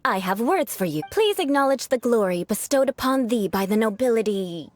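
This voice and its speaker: Woman acting a haughty, expressive young noblewoman knight. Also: a little high, moderate pace, no room sound, close to an everyday voice. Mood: stiffly imperious. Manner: haughty, formal, precise, lecturing. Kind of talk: video game voice acting. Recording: faint music behind the voice.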